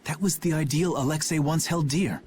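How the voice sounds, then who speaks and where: An actor playing an earnest, dutiful young knight captain in a video game, speaking formally and with solemn restraint. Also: male voice, a little high, moderate pace, a little mellow, slight background noise, close to an everyday voice. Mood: remorseful. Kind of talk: English video game voice acting.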